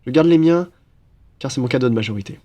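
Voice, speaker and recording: A man's voice: medium pitch, expressive, fast, warm tone, close to an everyday voice. A young man playing a cheerful, teasing student roommate in a casual, one-sided audio roleplay. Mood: friendly, joking. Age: young adult. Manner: playful, chatty, bantering.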